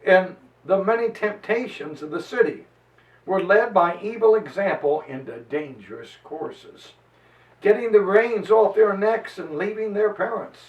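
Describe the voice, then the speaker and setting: A man giving a solemn, declamatory dramatized reading of an old historical text, with emphatic pauses. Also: sits high, expressive, lo-fi sound. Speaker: preacher reading a history aloud. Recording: echoey room, far from the mic.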